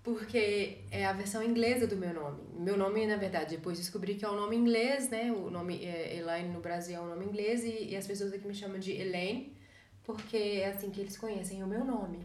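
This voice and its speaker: A woman's voice: medium pitch, normal speed, darkish tone. A young Brazilian woman co-hosting a podcast in a casual, conversational way, speaking with a Brazilian accent. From Brazil, Caetité in Bahia. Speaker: podcast co-host. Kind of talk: casual chat. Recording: echoey room, distant mic, light noise.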